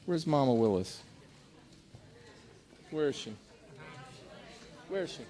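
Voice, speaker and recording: A man's voice: medium pitch, expressive, slow, dark tone. A man hosting a live stage show in a casual, conversational style. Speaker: show host. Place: theater stage before an audience.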